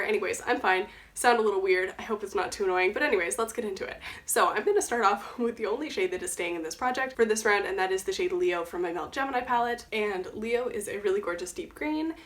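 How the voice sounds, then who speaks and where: A young woman talks quickly and casually into her camera, her voice stuffy and nasal from a cold. She chats through her makeup update, often restarting a phrase. Her pitch sits in a middle range. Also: a bit bright. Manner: chatty, quick, self-correcting. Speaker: beauty vlogger.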